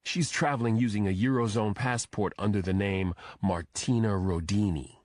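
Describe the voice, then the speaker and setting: A man's voice: fairly low pitch, moderate pace, a little mellow, no room sound, close to an everyday voice. A man voicing a serious FBI agent who briefs a colleague in a terse, official way, in an audiobook. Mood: official, intent. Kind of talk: audiobook character dialogue.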